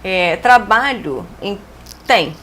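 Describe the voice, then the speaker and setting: A woman's voice: mid-range voice, lively intonation, slow pace, a bit bright, a little hiss or hum. A woman from Brazil hosting an interview, adding attentive, supportive interjections. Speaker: host. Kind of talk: interview, brief backchannel responses.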